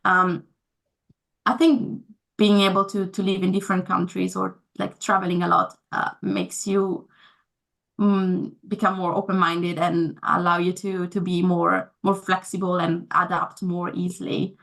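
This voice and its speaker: A woman's voice: on the low side, level tone, a little slow, creaky, a bit bright. A young woman, not a native English speaker, answering interview questions casually, with many fillers and restarts. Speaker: guest.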